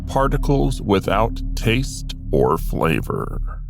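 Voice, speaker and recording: A man narrating a scripted fantasy tale in the first person as an otherworldly being, explaining it deliberately and directly to the listener. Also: on the low side, wildly swooping pitch, vocal fry. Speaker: storyteller. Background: music.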